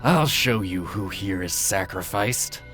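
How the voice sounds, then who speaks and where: A man voicing a slow, deep, menacing wolf. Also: expressive, exaggerated voice. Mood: uneasy anger. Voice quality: low, hushed growl. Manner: slow, sinister, drawn-out. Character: menacing male big bad wolf, sly and cruel. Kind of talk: dark fairy-tale storytelling. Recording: music behind the voice.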